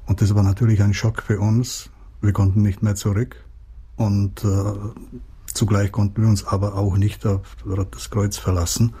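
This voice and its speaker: Middle-aged Czech-born man, Czech accent, dark mellow voice, halting interview answers. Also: fairly low pitch, little pitch movement, husky. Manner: hesitant, many fillers and restarts. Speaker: writer, interviewee.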